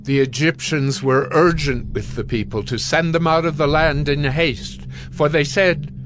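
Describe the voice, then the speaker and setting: A man narrating dramatized scripture in a solemn, measured storytelling manner. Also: fairly high pitch, steady tone, music in the background.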